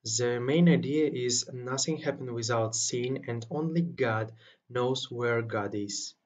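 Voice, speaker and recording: Mid-pitched young man presenting. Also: slow pace, darkish tone. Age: young adult. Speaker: student presenter. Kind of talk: scripted book summary.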